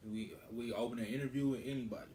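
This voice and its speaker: Quick, teasing man in an echoey room. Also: mid-range voice, fairly even intonation, far from the mic. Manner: teasing, loud outbursts. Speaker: podcast co-host. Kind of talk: casual banter. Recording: echoey room, faint noise.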